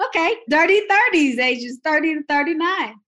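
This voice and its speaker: A woman's voice: high-pitched, expressive, moderate pace, a bit bright. A woman hosting a casual podcast, introducing guests with playful energy. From Dayton, Ohio area. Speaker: podcast host.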